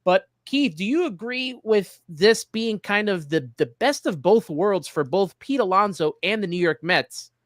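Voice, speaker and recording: A man's voice: high voice, animated, unhurried, hardly any pauses, no echo, studio quality. A man hosting a sports talk show, giving commentary and analysis.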